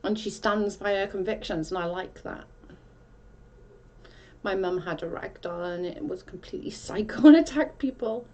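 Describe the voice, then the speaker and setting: A woman's voice: mid-range voice, normal speed, a little mellow. A woman from South Wales with a Welsh accent, chatting casually on a livestream and reading out comments in a rambling way with many restarts.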